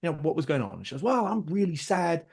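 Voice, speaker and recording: Fast-talking man telling family stories. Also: a little high, vocal fry, slightly rough. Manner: animated, acts out his kids' and his own lines. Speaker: podcast guest. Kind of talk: storytelling in casual conversation.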